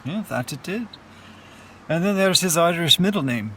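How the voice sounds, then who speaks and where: A man playing a gentle, easygoing, wry older relative at a wake, in radio play dialogue. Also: on the high side, lively intonation, moderate pace, a little hiss or hum. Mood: fond, wry.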